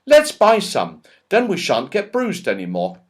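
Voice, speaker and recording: An expressive little girl from a storybook, voiced by a male reader. She is high and lilting, fussing or enthusing with great feeling. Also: unhurried, full character voice. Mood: astonished, joyful.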